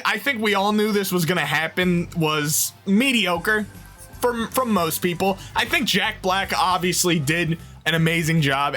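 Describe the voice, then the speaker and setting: A young man rambling through a casual movie review, animated and quick to interrupt himself. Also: sits high.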